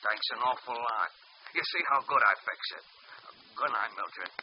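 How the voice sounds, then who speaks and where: A man's voice: mid-range voice, normal speed, lightly acted. Guy doing timid simple fella, hesitant and pleading, old radio hiss. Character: meek simple-minded man, eager to please. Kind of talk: 1940s radio acting. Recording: dull old radio broadcast with faint hiss.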